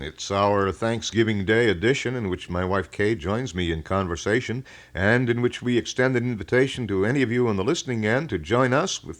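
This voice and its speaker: A middle-aged American man hosting a live call-in radio show, talking in a folksy, wordy way with halting phrasing. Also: lowish voice. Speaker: radio talk show host. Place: radio studio. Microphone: studio broadcast microphone.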